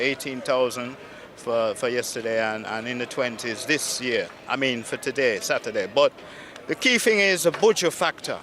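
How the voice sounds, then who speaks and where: A man giving an emphatic interview reaction, repeating words for weight. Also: mid-range voice. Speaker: festival attendee giving comment. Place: outdoors at a festival. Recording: clear outdoor urban noise.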